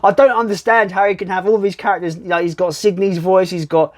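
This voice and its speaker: A man with a slightly high-pitched voice hosts a casual film ranking. He talks bluntly and swears freely. He often repeats words and phrases to drive a point home. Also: steady tone, quick pace, over music. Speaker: film reviewer, video host.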